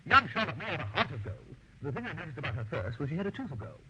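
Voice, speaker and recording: An actor playing an amiable, dithering young upper-class English gentleman, chummy and exclamatory, in a radio comedy drama. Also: a little high, very animated, very fast, noisy background. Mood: exasperated. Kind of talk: first-person narration and radio comedy acting.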